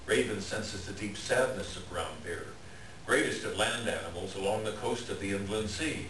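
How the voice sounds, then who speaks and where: A man with a fairly low voice narrates an animal tale in a dramatic reading style. He sounds far from the microphone in an echoey, noisy room. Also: normal speed, fairly bright tone. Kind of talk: scripted dramatic story narration. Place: live staged reading in a library room. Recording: echoey room, distant mic, clear noise.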